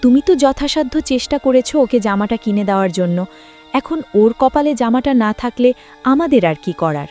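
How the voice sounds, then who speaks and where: A woman's voice: on the high side, animated, normal speed, warm tone, soft background music, close to an everyday voice. A woman playing a practical, caring village wife and mother in a cartoon, chiding yet consoling. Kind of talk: cartoon dialogue and story narration.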